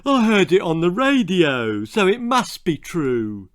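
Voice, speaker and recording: A man voicing a half-witted, gullible husband in a children's storybook, speaking in a dim monotone. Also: high voice, unhurried, no echo, pitched above the performer's own voice, exaggerated voice. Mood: dully credulous.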